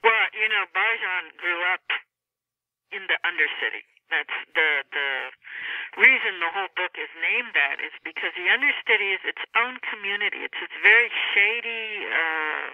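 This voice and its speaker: A woman author explaining her work in a conversational interview, pausing mid-sentence as she goes. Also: mid-range voice, animated, no echo. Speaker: author being interviewed about a novel. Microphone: telephone. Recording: tinny narrowband phone line.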